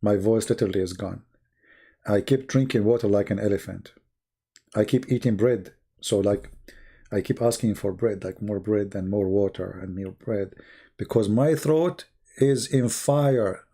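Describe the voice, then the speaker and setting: A man who speaks English as a second language, chatting casually and telling stories on a live stream in a halting, rambling way, often clearing his throat. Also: fairly low pitch, dark tone. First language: not English.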